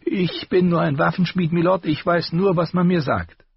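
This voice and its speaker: A man voicing a stubborn older male master armorer in a high, strained, pleading voice. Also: fairly even intonation, moderate pace, vocal fry, breathy edge, no echo. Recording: dull lo-fi recording.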